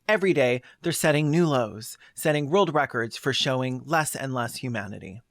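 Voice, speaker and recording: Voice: mid-range voice, animated, moderate pace, dry recording, studio quality. A video essayist delivering scathing, sarcastic commentary in a blunt way, moving between scripted narration and asides.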